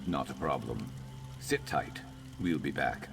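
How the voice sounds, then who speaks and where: Very deep, gravelly lizard-man warrior. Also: a little slow, music in the background, exaggerated voice. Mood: dry, steady. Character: weary, guarded male Argonian lizard-folk warrior, former slave. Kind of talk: video game character dialogue.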